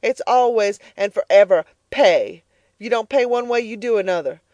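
A woman reading the part of a bitter, stingy farm mother who scolds loudly and sharply. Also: medium pitch, expressive, fairly quick, dry recording, close to an everyday voice. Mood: furious. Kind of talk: audiobook character voice.

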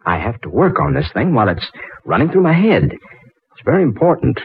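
A man's voice: medium pitch, sing-song, brisk, gravelly, breathy edge, full character voice. An actor playing an eager, flustered, smitten young inventor in 1940s radio drama style, hesitant and muttering as he goes. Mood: flustered pleading. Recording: muffled old 1940s radio recording.

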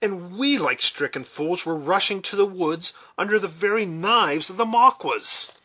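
Man acting a frontier scout, bold, urgent, raised pitch, bright forceful tone, audiobook adventure. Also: lively intonation, a little slow, heavily acted. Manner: urgent exclamations. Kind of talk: dramatic audiobook character reading.

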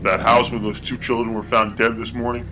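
A man playing a concerned police partner in an audio drama, reasoning deliberately with emphatic pauses. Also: mid-range voice, little pitch movement, music in the background, close to an everyday voice. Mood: solemn, explaining. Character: concerned male police partner, patient and explanatory.

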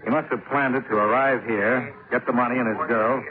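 An American actor playing a hard-boiled, wry investigator, narrating a 1950s radio detective drama in a clipped, matter-of-fact way. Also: male voice, medium pitch, fairly even intonation, brisk, a crowd in the background, lightly acted. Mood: brisk reasoning. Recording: tinny old 1950s radio recording, music behind.

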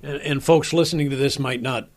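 A man's voice: mid-range voice, fairly even intonation, brisk. A man hosting an interview, giving informative lead-ins before his questions.

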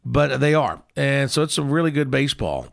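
Gravelly man chatting about sports. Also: medium pitch, very animated, normal speed, a little hiss or hum. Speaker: sports show co-host. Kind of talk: casual sports chat.

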